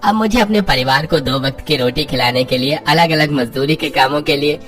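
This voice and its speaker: A man's voice: on the high side, fairly quick, fairly bright tone, faint music behind, lightly acted. A man voicing a prosperous friend who gives advice in a cartoon story. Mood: contented. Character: prosperous adult male friend, once a poor labourer, advising. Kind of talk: scripted cartoon story dialogue.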